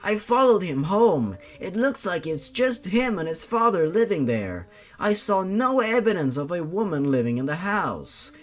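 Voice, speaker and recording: A man's voice: high voice, big pitch swings, unhurried. A man voicing a twitchy, easygoing young male killer who talks casually with a stammer in an audio story. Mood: reporting.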